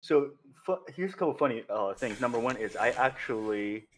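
A man's voice: mid-range voice, expressive, moderate pace, slight background noise, poor audio. A man from China, a podcast guest, telling a story in a rambling way with many fillers and restarts. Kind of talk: casual storytelling chat.

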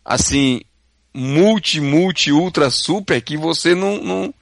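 A man's voice: fairly high pitch, measured pace, gravelly, no echo, studio quality. A man co-hosting a casual podcast chat, teasing the others and posing questions. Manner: teasing, storytelling, poses questions. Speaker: podcast co-host.

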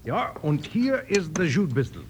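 A comical old German gunsmith with a high voice that swoops about nervously. He has a Pennsylvania Dutch accent and muddled, jittery English, on a noisy old radio western. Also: sing-song, moderate pace. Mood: nervous, eager. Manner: jittery, mangled English with invented German words. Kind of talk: 1950s radio western acting.

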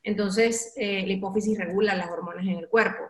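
A woman with a Venezuelan accent speaks as a guest sharing her own story. Her delivery is candid, expressive and confiding, full of colloquial turns. Her pitch sits in the middle range for a woman, and the room adds a clear echo. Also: a little fast. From Valencia, Venezuela. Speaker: interview guest, radio and event host. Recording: roomy, echoing sound.

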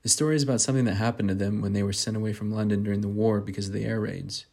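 A man's voice: lowish voice, steady tone, very fast, creaky, a little mellow. A man chatting casually and hesitantly in a self-deprecating way, sometimes reading aloud. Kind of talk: casual rambling chat, with some reading aloud from a book.

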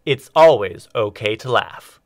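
A man's voice: on the low side, animated, a little slow. A man delivering a scripted introduction as the announcer of a radio theatre show.

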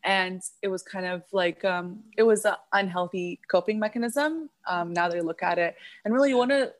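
A woman in her twenties from Egypt, a podcast guest chatting casually, pausing and searching for words as she thinks aloud. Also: mid-range voice, no room sound. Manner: thoughtful, filler-heavy, searching for words. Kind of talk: casual chat.

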